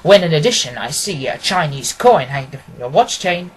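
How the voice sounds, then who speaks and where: A woman voicing a confident, quick-witted gentleman detective from a storybook, precise and amused. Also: sits low, lively intonation, a little hiss or hum, low-quality recording. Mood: assured. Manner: precise, expository, assured.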